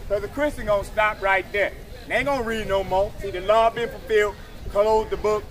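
A man with an American accent preaches out in the open in a high-pitched voice. He is confrontational and mocking, repeating phrases and prompting his readers in call-and-response. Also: steady tone, brisk, a bit bright. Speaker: street preacher leading readers. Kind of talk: impromptu outdoor street preaching. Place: outdoors at a waterfront park walkway. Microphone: camera several feet away.

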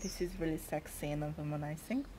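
A woman in her twenties with a Hungarian accent and Hungarian as her first language, answering interview questions in a soft-spoken, hesitant way with frequent pauses and fillers. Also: low-pitched, background noise. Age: 20s.

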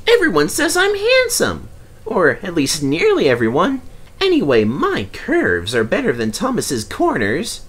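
A man voicing a cheeky, excitable little green tank engine in a children's storybook, with a mischievous, indignant manner. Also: high voice, unhurried, husky, pitched above the performer's own voice, heavily acted.

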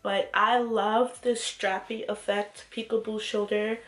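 A woman's voice: mid-range voice, little pitch movement, a little slow, reverberant, soft background music. A young woman with a cold, chatting to camera in a clothing haul video and gushing about each piece. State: congested from a cold. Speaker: fashion haul vlogger. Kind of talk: casual haul chat to camera. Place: small room, glittery backdrop.

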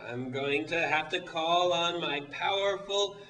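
Villainous puppet boss, high sharp voice, theatrical bullying, sadistic glee, echoey room. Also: male voice, measured pace, recorded from a distance. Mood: scheming. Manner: theatrical, bullying, gleefully cackling. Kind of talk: comedic puppet show villain acting. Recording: roomy echo, off the mic.

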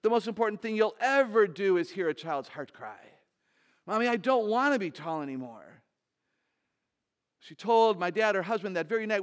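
Woman in her sixties, low voice, animated humorous speaker, large stage, headset mic. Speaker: conference speaker.

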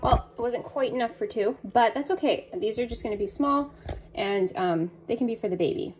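A woman's voice: fairly high pitch, fairly quick, over music, rough recording. A woman in her thirties giving casual, rambling, offhand narration as she cooks in a home kitchen, recorded on a phone. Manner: rambling, offhand self-commentary. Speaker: home cooking vlogger. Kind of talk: casual chatty narration while cooking. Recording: clear background noise, kitchen clicks.

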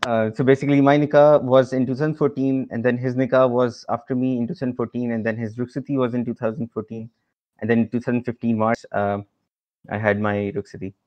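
A man's voice: mid-range voice, flat delivery, fast, dull audio. A man guest on a podcast telling a story in a casual, chatty way, with lots of fillers and a self-amused tone.

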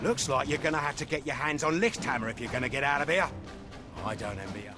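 An actor playing a brisk, slangy British army corporal of the Second World War in a video game cutscene, combative in manner. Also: male voice, medium pitch, lightly acted. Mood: planning. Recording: clear music over the voice.

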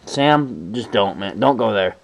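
A man's voice: on the low side, expressive, unhurried, a little hiss or hum. A man making a casual phone call in a blunt, informal way. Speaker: prank caller.

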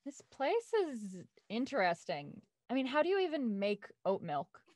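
A woman's voice: a little high, very animated, normal speed, darkish tone, no echo. A woman playing an anxious, earnest young woman in an audio drama, hesitant yet insistent. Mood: hesitant, probing. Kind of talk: naturalistic audio drama read-through. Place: home, on a video call.

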